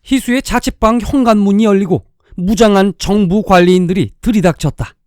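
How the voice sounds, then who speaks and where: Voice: mid-range voice, a little fast, darkish tone, no room sound, clean studio sound. A storyteller reading a dramatic science-fiction tale in a scripted narrating style. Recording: music under the voice. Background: background music.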